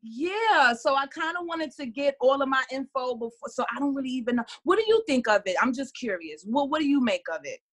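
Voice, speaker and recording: Bubbly high-voiced New woman. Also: brisk, hardly any pauses. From Harlem, New York. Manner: animated, laughs often. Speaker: guest, sketch artist. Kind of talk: casual podcast chat.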